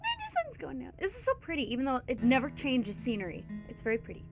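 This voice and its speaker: A woman's voice: sits high, huge pitch swings, quick pace, creaky, soft background music. A young woman with a congested voice chatting casually in her bedroom while playing a game. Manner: bubbly, laughing, chatty. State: congested from a cold. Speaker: gaming YouTuber. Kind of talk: casual let's-play commentary.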